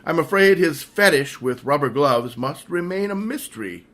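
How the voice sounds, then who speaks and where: Radio detective hero played by a man: pompous, theatrical, bright, a little high, lively inflection. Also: normal speed. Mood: smug explaining. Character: self-assured male super sleuth, pompously explaining the case. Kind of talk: radio mystery acting.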